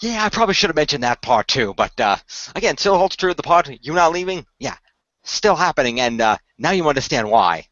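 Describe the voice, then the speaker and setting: A man's voice: fairly high pitch, sing-song, fairly quick, fairly bright tone, dry recording, muffled recording. A man playing a smug, sarcastic god of the underworld in a radio play, chatting like a mock-reassuring showman. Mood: gloating. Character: fast-talking smug male god of the underworld. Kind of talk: hobby radio play acting.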